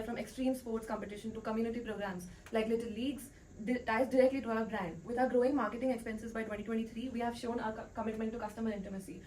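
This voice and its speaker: A woman's voice: a little high, a little mellow. A woman presenting a scripted argument as a panelist in a business role play. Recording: distant mic, faint background noise.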